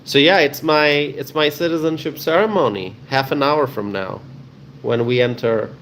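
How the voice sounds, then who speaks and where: A man hosting a live stream talks casually with his viewers. He reads their comments aloud, answers each one, and repeats key phrases for emphasis. His voice is mid-pitched, and a faint hiss of background noise sits under it. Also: normal speed, fairly bright tone. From Bangladesh.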